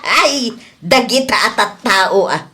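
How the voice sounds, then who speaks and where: A woman's voice: mid-range voice, animated, slow, noisy background. A woman playing a gossipy, disapproving mother in a comedy radio drama, exclaiming and scolding. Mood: indignant gossip.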